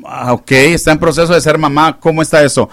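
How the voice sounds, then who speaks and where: A man's voice: fairly high pitch, fairly even intonation, brisk, a bit bright, no room sound. A man hosting a call-in radio show, chatting and teasing callers in a joking way. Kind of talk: casual call-in radio banter. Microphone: studio microphone.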